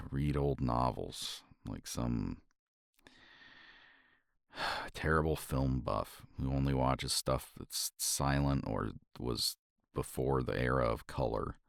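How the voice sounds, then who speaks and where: Deep gravelly man, slow and intimate. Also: no room sound, studio quality. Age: older. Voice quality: soft, intimate, drifts into whispers. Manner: slow, reflective, sighing. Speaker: ASMR roleplay performer. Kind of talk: romantic ASMR roleplay.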